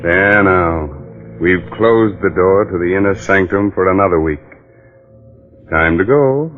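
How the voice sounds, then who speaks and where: Music plays behind a low-voiced man on a muffled old radio recording. He hosts in scripted forties mystery style, theatrical and confiding, addressing the listener directly. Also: measured pace. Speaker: program host and pitchman.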